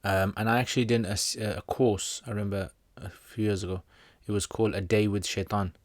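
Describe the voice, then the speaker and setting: Brief: male livestream host and teacher, low-pitched, dry close sound, jokey and rambling. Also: level tone, fairly quick, no room sound. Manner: jokey, rambling, with slangy asides. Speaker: Islamic teacher hosting a livestream Q&A. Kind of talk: casual livestream chat, answering viewer comments.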